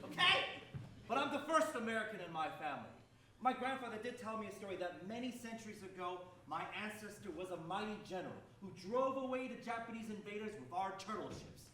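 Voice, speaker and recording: A man's voice: high voice, expressive, quick pace, bright, forceful tone, a little hiss or hum. A man giving a defiant, punchy stage monologue. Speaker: stage performer. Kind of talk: scripted theatre monologue. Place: theatre stage. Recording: roomy echo from far off.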